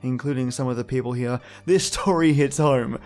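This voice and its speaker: A man's voice: mid-range voice, moderate pace, a little mellow. An Australian man hosting a podcast, giving casual, reflective commentary. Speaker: podcast host. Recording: faint music behind voice.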